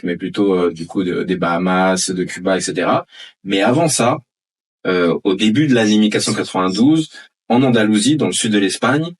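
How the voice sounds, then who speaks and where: A man co-hosting a casual podcast, explaining a history point in a halting way with many fillers. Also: low voice, slightly rough. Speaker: podcast co-host giving a history segment.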